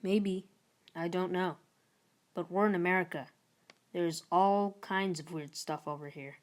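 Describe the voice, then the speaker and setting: Down-to-earth schoolboy, read by a woman, skeptical, plain, doubting, slow pace, storybook friend. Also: fairly low pitch, steady tone, lightly acted. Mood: doubtful.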